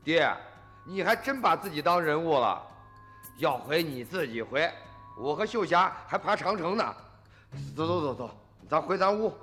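An actor playing a brash, dismissive middle-aged farmer's son in a radio drama, blustering and scoffing in colloquial speech. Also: male voice, on the high side, sing-song, moderate pace, fairly bright tone, faint music behind. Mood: mocking, irritated.

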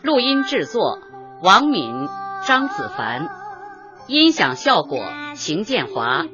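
Woman, radio announcer, formal credits reading, unhurried, animated intonation, lo-fi sound, clear music behind. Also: on the high side. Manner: formal, measured enunciation. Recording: dull, lo-fi audio over music.

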